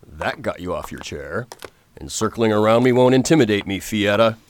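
A man playing a determined, formal Victorian gentleman hero in a radio drama, measured and reassuring. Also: on the low side, animated, slight background noise, lightly acted. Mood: confrontational. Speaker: narrator. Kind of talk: radio drama acting and narration.

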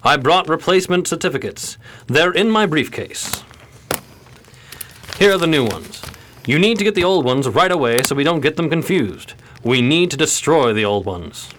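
An actor playing a suave, dapper 1920s gentleman gambler, polished and courteous, in a radio crime drama. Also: a little high, animated, moderate pace, a little hiss or hum. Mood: earnest, scheming.

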